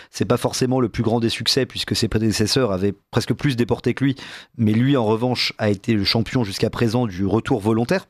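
A man hosting a discussion, measured and halting as he searches for his words. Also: on the low side, no echo, studio quality. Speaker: discussion host. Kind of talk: moderating a panel discussion.

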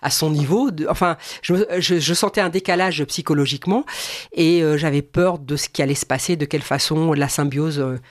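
A woman's voice: low-pitched, a little fast, no echo, clean studio sound. A woman singer being interviewed, talking hesitantly with frequent restarts and eager repeated agreement. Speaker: singer, guest. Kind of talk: radio interview.

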